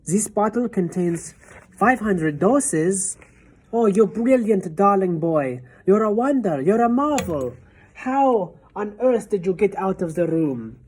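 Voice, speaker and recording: A woman's voice: mid-range voice, slow, breathy edge, pitched above the performer's own voice, low-quality recording. A woman doing a cool, loving old grandmother. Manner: calm, cool, affectionate.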